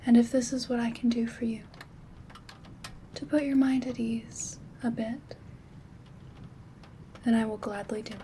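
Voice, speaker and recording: A woman playing a gentle, affectionate witch in a soft-spoken, whispered ASMR roleplay, soothing and tender with a breathy hush. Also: a little high, lightly acted. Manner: soothing, slow, hushed, formal and tender wording. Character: gentle, affectionate female witch and caring friend. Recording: steady background rain ambience noise.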